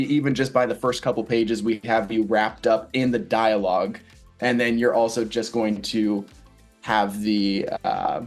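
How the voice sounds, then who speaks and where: A man, an actor, talking casually in an interview with an animated, playful, enthusiastic manner. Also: fairly low pitch. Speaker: stage actor being interviewed.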